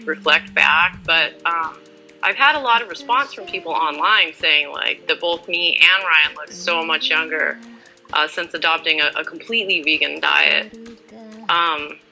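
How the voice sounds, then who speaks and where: A woman's voice: lowish voice, moderate pace, bright, forceful tone, soft background music, rough recording. A woman in her forties answering as an interview guest in a casual, chatty, informal and self-deprecating way. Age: 40s.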